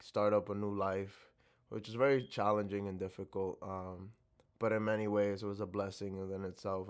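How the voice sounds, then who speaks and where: Low, smooth-voiced young man from the Dominican Republic. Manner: reflective, many fillers and restarts. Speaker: interview guest. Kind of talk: casual interview answers.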